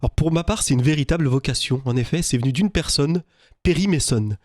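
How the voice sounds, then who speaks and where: A man's voice: a little high, quick pace, a little mellow, slight background noise. Hesitant man, radio studio guest. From Lorraine, France. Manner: hesitant, many fillers. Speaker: guest, business lawyer. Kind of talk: radio interview. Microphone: studio microphone.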